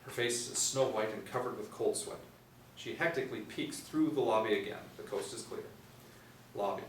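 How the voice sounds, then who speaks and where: A man in his forties narrates a screenplay in a lowish voice. He is recorded from a distance in an echoey room. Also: normal speed. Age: 40s. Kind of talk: scripted narration of screen directions. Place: small indoor room, seated group. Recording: roomy, distant mic with faint noise.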